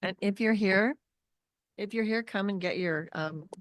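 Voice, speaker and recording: A woman's voice: medium pitch, measured pace, no echo, studio quality. A woman reading out student names at a school board meeting, apologetic and informal.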